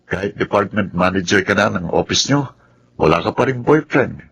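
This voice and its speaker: A man's voice: on the low side, lively intonation, normal speed, vocal fry, a bit bright, breathy edge, exaggerated voice. An actor with a Filipino accent playing an earnest, patient bachelor lawyer in his thirties in a radio drama. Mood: calm explaining. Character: earnest 32-year-old bachelor lawyer, patient, devoted to work. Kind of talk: Filipino radio drama acting and first-person narration.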